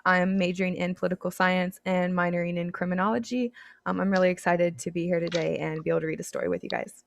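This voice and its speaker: A young woman, a student volunteer, introducing herself and reading a picture book aloud in a gentle, even storytime voice over a video call. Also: lowish voice, quick pace, creaky, slight background noise. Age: young adult.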